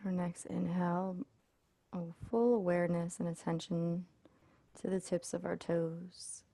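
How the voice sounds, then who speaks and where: A woman leading a guided body scan meditation as an instructor, speaking in a soothing, unhurried way with pauses. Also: lowish voice, level tone, warm tone, no room sound.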